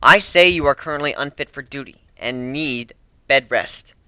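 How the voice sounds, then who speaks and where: A man's voice: medium pitch, normal speed, pushed and bright, clear, resonant tone, dry recording. A man voicing a firm, caring female potion maker and medic who explains and insists, in a story reading. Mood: insistent.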